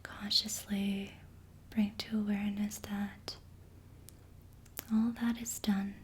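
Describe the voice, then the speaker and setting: A woman speaking in a breathy whisper, giving slow, soothing ASMR relaxation guidance with gentle instructions. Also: little pitch movement. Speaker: ASMR reiki practitioner. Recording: faint background noise with low rumble.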